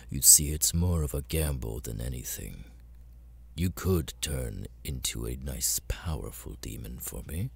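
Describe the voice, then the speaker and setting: A man playing a cold, menacing, seductive demon lord in an ASMR audio roleplay, breathy and gravelly, often near a whisper, with slow deliberate pauses. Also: very deep voice, full character voice.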